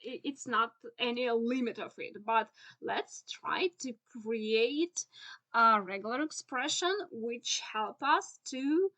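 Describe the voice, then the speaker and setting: Bright-voiced woman with a Ukrainian accent. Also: on the high side. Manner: many filler "uh"s, halting non-native phrasing. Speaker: SEO instructor. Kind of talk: screen-share tutorial walkthrough.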